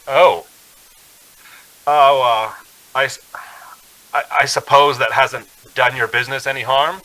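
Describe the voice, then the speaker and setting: Bright, tense young man, uneasy traveler. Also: medium pitch, fairly even intonation, a little slow, poor audio. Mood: nervous, hesitant. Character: uneasy young man narrating his eerie road trip. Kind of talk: radio drama acting, first-person suspense narration.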